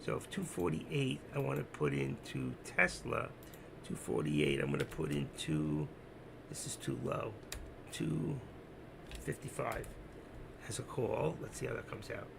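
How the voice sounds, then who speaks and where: A man with a gravelly, hoarse voice that is giving out, doing unscripted live trading commentary, thinking aloud, repeating himself and instructing his viewers. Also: mid-range voice, very animated, a little slow, background noise. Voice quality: gravelly, creaky. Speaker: live stock-trading streamer.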